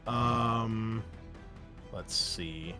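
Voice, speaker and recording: A man running a tabletop game as its game master and host, easygoing and quick to laugh, often pausing with ums. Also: lowish voice, sharp tone, faint music behind.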